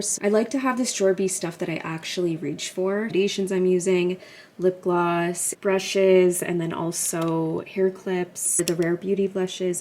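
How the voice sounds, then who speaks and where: A woman in her thirties, slightly raspy and half awake, chatting in a casual home vlog, upbeat and full of "like" fillers. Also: on the low side, dark tone, a little hiss or hum. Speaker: lifestyle vlogger.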